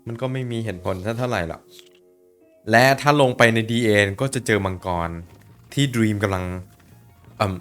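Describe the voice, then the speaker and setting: A man hosting a reaction video, talking casually with excitable outbursts and repeated words. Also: medium pitch, moderate pace, pauses often, soft background music.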